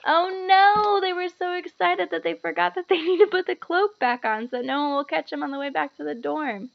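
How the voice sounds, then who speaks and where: Female storyteller, animated and explanatory, teacher-like, with noticeable creak and dull recording. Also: very high voice, moderate pace. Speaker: teacher reading a children's novel aloud. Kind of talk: expressive read-aloud with chatty asides to children.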